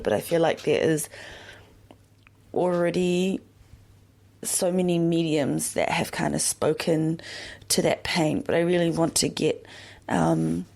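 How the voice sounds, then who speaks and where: A young woman hosting a podcast, talking in a slow, reflective personal monologue with many pauses and fillers. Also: low-pitched, vocal fry, a little mellow. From born in Yemen, raised in New Zealand. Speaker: podcast host.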